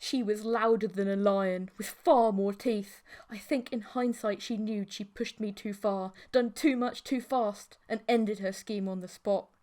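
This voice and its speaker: A woman's voice: medium pitch, fairly even intonation, a little slow, hardly any pauses, a little mellow. A woman reading a first-person story as an audiobook narrator, with dramatic scripted delivery.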